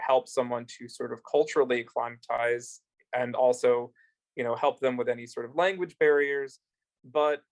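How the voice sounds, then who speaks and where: Flat-voiced man with halting delivery. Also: medium pitch. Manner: flat, hesitant, many fillers. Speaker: immigrant legal services provider. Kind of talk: panel talk.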